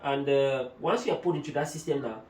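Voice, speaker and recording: A man's voice: mid-range voice, fairly quick, darkish tone. Man explaining asylum procedures, echoey room. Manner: explains procedures step by step, uses German terms. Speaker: migration adviser. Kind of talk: explanatory talk-show discussion. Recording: echoey room, mic at a distance.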